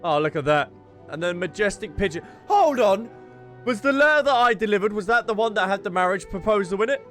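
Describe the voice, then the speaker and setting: A man's voice: high voice, fairly quick. A man giving playful, teasing let's-play commentary as a gaming streamer. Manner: animated, teasing, breaks into giggles. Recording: faint game music behind.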